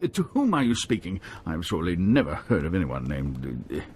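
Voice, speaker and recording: Brief: a whiny pampered game noble, very lively pitch, hesitant and rattled. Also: male voice, a little fast, a little hiss or hum. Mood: flustered. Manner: flustered, hesitant and pleading. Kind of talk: video game cutscene acting.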